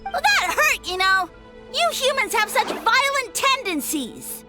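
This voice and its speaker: A woman's voice: extremely high pitch, big pitch swings, measured pace, bright, forceful tone, long reverb tail, faint music behind, heavily acted. A woman voicing a small, pompous male mushroom lord in a video game, grandiose and aggrieved, with a theatrical, imperious delivery. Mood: indignant. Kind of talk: video game character acting.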